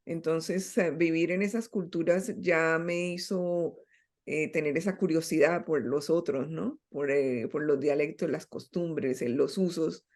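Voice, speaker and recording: A woman's voice: deep voice, steady tone, measured pace, a little mellow. Reflective Colombian woman guest. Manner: reflective, hesitant with many fillers. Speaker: guest, writer. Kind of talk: interview conversation.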